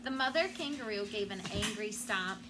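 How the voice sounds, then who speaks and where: A woman's voice: mid-range voice, lively intonation, moderate pace. A woman teacher reading a children's book aloud and prompting pupils with questions. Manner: prompting, checks understanding with questions. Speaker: elementary school teacher. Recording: clearly noisy room sound.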